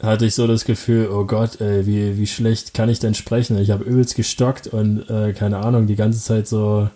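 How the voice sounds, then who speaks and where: A young man from Germany talks through his story in a relaxed interview, stopping and restarting often and breaking into short laughs. His voice sits low and dark, with very little rise and fall. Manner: halting, many fillers and restarts, laughs often. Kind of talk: casual interview answers.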